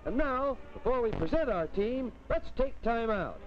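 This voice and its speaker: A man's voice: very high-pitched, big pitch swings, moderate pace. A middle-aged American man hosting a sports newsreel in a formal 1950s announcer delivery. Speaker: sports telecaster, show host. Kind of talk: 1950s newsreel scripted narration. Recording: dull vintage film soundtrack, music behind.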